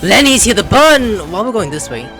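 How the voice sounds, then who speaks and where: A woman's voice: mid-range voice, very animated, fairly quick, a bit bright, faint music behind. A woman giving casual, playful and exclamatory reactions as she plays through a video game. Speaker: gaming video host. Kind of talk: casual gameplay reaction commentary.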